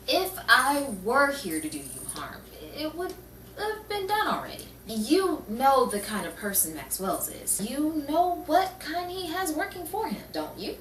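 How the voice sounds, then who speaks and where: A woman's voice: sits high, sing-song, measured pace, a bit bright, heavily acted. A woman playing a persistent, coaxing newspaper reporter in an improvised noir radio play. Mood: insistent, reassuring. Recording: roomy, distant mic with faint noise.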